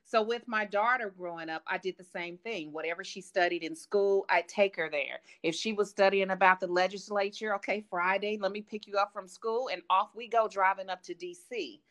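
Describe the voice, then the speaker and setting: A woman from Kansas City, a grandmother, chatting as a guest about her family travels in a relaxed storytelling way. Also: mid-range voice, moderate pace, hardly any pauses. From Kansas City, Missouri. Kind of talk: casual interview chat.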